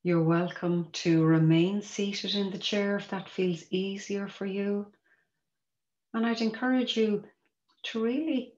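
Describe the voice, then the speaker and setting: Meditation guide, female, low register, gentle and measured delivery, inviting tone; dull-sounding recording in an echoey room. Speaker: mindfulness teacher guiding a body scan. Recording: roomy echo, dull muffled sound.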